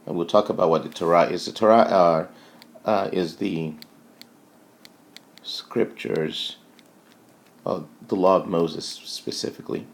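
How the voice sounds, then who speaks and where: Male lecturer, low-pitched with plenty of fry, informal and halting explanatory delivery. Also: fairly even intonation. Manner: halting, thinking aloud, checks in with "okay" and "right".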